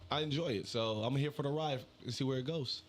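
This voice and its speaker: A man, a comedian guest, chatting casually and laughing often between halting answers. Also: medium pitch, slight background noise. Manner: laughs often, hesitant restarts. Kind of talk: casual chat.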